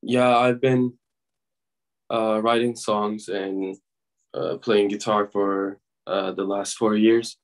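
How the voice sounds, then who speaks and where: A young man from Turkey, a guest musician, answering interview questions softly with many fillers and pauses. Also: on the low side, little pitch movement. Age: young adult. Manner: soft-spoken, full of fillers and pauses.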